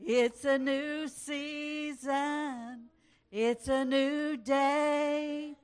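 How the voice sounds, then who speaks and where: A woman singing a gospel song a cappella in slow, sustained phrases. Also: sits high, steady tone, soft background music. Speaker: church singer.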